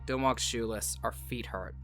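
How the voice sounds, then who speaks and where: A woman's voice: a bass voice, moderate pace, fairly bright tone, faint music behind. A woman voicing a cold, mocking devil in a comic fan dub, detached and pedantic. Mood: coldly mocking.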